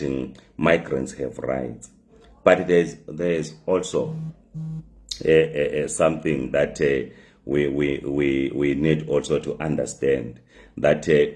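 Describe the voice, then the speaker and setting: Hoarse, slow deep-voiced man hosting. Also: a little mellow. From migrant living in South Africa. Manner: halting, many fillers and repeated words. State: hoarse from the flu. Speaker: talk show host. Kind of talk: live talk show monologue. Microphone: phone.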